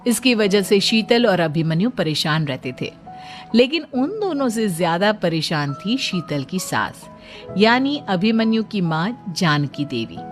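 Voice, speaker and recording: A woman's voice: mid-range voice, sing-song, a little slow, soft background music. A woman narrating a scripted moral story.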